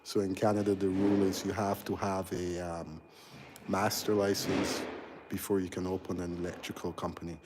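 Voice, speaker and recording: A man in his forties with a low, level voice that barely rises or falls. He chats haltingly with viewers on a phone stream while touring an unfinished house. A faint hum hangs in the background. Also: level tone. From Barbados. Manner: halting, chatty, reads out and answers viewers' comments. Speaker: electrical contractor. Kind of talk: casual live-stream walkthrough. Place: large unfinished house under construction, indoors.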